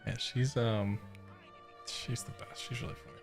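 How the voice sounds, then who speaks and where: A man's voice: medium pitch, steady tone, moderate pace, soft background music. A man streaming a game live with casual, rambling, jokey commentary in a laid-back way.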